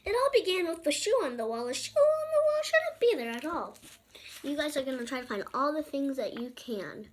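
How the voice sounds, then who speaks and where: A woman's voice: high-pitched, sing-song, brisk, slight background noise. A young girl reading a picture book aloud, breaking off for informal, chatty asides to other children watching. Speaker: storybook reader and channel presenter.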